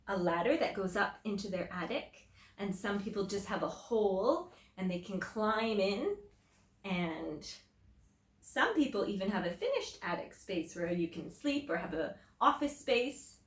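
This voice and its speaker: A woman teacher reading a picture book aloud to children, explaining simply and deliberately and putting questions to her listeners. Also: medium pitch, big pitch swings. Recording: roomy echo, distant microphone.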